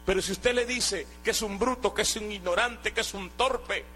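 A man's voice: sits high, moderate pace, pushed and bright, a little hiss or hum. A man from Guatemala, giving an earnest sermon testimony and often restarting his words. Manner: earnest, exhorting, with hesitant restarts.

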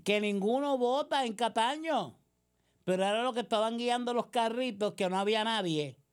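Puerto Rican woman pundit, sarcastic and accusatory, fairly high voice for the part. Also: moderate pace, clean tone. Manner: sarcastic, accusatory, fires rhetorical questions. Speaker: political commentator. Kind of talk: combative monologue.

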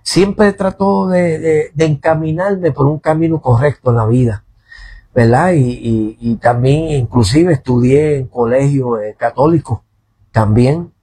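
A man's voice: fairly high pitch, unhurried, mellow, slightly rough. A man, a pastor speaking as a radio guest, hesitant, repeating words and tagging "¿verdad?" onto nearly every phrase. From born in New Jersey, raised in Puerto Rico. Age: 50s. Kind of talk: radio interview, testimony. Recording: radio studio.

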